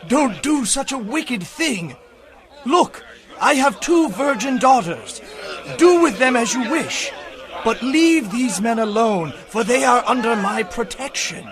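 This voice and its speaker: A man's voice: extremely high pitch, animated, slow, crowd noise, full character voice. An actor playing an anguished ancient man in a Bible audio drama, beseeching with urgent emphasis. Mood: desperate pleading. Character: Lot, anguished pleading man of ancient Sodom.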